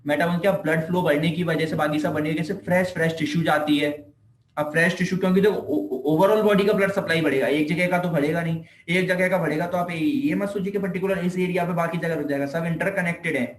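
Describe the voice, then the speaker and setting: A man's voice: on the high side, fairly even intonation, quick pace. An Indian man giving an informal explanatory lecture as a teacher, repeating himself and answering his own questions. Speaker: physiotherapy teacher. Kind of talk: informal explanatory lecture in Hindi mixed with English terms. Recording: echoey room.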